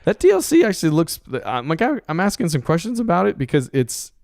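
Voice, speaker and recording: A man's voice: high voice, huge pitch swings, fast, creaky, darkish tone, no room sound, studio quality. A young man hosting a podcast, easygoing and laughing often as he chats and reads out news items. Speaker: podcast host. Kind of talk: casual chat, reading news items.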